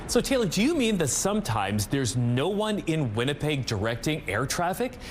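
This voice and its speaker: A man's voice: a little high, big pitch swings, moderate pace, a little hiss or hum. A man anchoring the news and putting questions to a reporter live on air.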